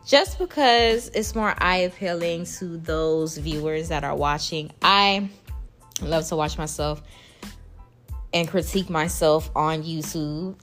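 A young woman with a Caribbean accent speaks in a fairly low, soothing voice. She chats casually and playfully, laughing often and leaping up in pitch for emphasis. Soft music plays faintly behind her. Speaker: podcast host. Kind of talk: casual advice chat to listeners.

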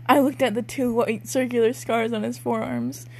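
A woman's voice: on the high side, fairly even intonation, normal speed, slight background noise. A young woman reading amateur fiction aloud casually as co-host, breaking in with wry, giggly, sweary asides. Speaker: co-host and reader.

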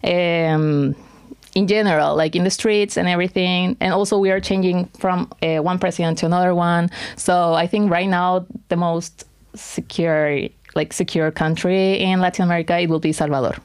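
Guest: woman in her twenties, lowish voice, halting as she hunts for English words. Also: fairly even intonation, smooth. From Chile. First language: Spanish. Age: 20s. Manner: hesitant, searching for words, laughs easily. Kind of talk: casual interview chat.

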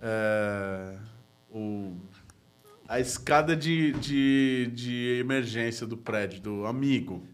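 A man's voice: mid-range voice, takes it slowly, slight background noise. A man, a guest telling stories in casual chat, slangy, laughing often and dropping to a mutter. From Brazil. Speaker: guest graffiti artist.

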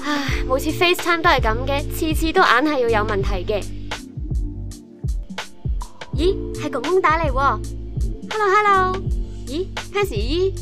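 A caring young Hong Kong granddaughter acted by a woman, high-pitched and Cantonese-accented. The light radio drama has clear music behind her. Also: animated, moderate pace. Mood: caring, lively. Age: young adult. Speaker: voice actor in a student audio drama.

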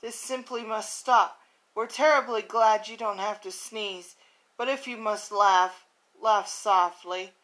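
A woman's voice: mid-range voice, fairly even intonation, takes it slowly, fairly bright tone. A woman voicing a cheeky little male mouse in a rhyming storybook read aloud. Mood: scolding. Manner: teasing, then scolding.

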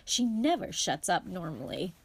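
A man's voice: sits high, very animated, moderate pace, vocal fry, breathy edge, heavily acted. A woman voicing a plain-spoken, slightly self-conscious young wizard boy in an audiobook. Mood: casual. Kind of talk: audiobook character voice.

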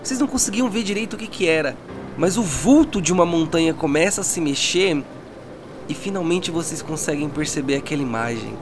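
A man's voice: fairly high pitch, animated, fairly quick. Man narrating as game master. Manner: dramatic descriptive narration. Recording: with music bed.

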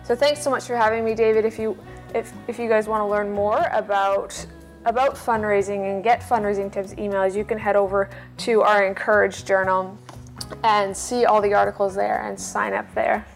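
A woman's voice: medium pitch, flat delivery, normal speed, creaky, soft background music. A woman speaking as a podcast guest, explaining practical tips in an informal way. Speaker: podcast guest giving advice.

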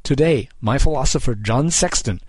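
Pompous monarch for an audiobook, voiced by a male narrator: raised pitch, grand declamation, dry close recording. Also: animated, normal speed, a little mellow, husky, no echo. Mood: grand, proclaiming. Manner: declamatory, self-important. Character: pompous English king addressing his subjects grandly. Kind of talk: audiobook character voice.

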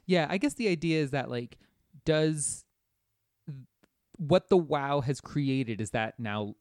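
A man's voice: fairly high pitch, expressive, slow, darkish tone, no echo, studio quality. A man co-hosting a podcast, talking casually and reflectively, posing questions and checking for agreement. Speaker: podcast co-host.

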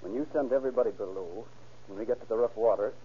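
An actor playing a breezy husband and small-time schemer in an old radio drama. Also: male voice, lowish voice, quick pace, lightly acted. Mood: hesitant, plotting. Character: breezy husband scheming a faked drowning for money. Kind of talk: 1940s radio acting. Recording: dull, hissy old radio recording.

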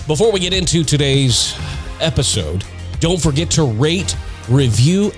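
Man hosting his podcast, stuffed up from allergies so he sounds nasal, chatty and upbeat, bit of music faint in the back. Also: mid-range voice. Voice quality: congested, nasal. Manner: chatty, upbeat, with frequent restarts. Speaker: podcast host. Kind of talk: casual solo podcast talk.

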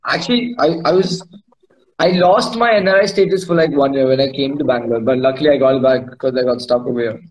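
A young man from Dubai in casual chat on a video call, relaxed and rambling with lots of slang. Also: medium pitch, quick pace, poor audio. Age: young adult.

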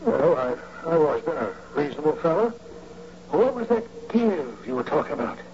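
An actor playing a sly, folksy old bank guard who can be bought, in an old radio drama. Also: male voice, a little high, sing-song, fairly quick. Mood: stern, then bargaining. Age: older. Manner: folksy, ungrammatical, drawling authority. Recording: muffled, hissy old radio recording.

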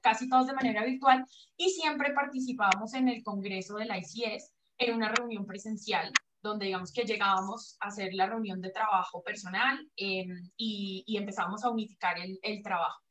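A woman's voice: mid-range voice, steady tone, moderate pace, a bit bright, slight background noise, rough recording. A woman from Colombia being interviewed over a video call, explaining in a hesitant way with many fillers and restarts. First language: Spanish. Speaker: pelvic floor physiotherapist, guest. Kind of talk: online interview.

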